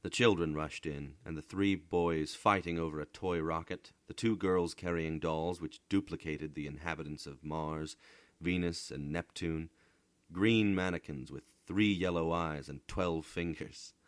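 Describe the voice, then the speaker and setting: Low-pitched, unhurried male storyteller. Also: fairly even intonation, dry recording. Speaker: narrator, voice actor. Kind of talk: dramatic literary story narration.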